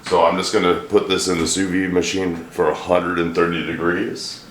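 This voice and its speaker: Brief: middle-aged man, very low and a bit hoarse, rambling dry humor, echoey home kitchen with faint hiss. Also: level tone, moderate pace. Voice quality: deep, a little hoarse from a throat bug. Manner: joking, rambling, dry self-deprecating humor. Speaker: vlogger, home cook. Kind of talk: casual cooking vlog chat.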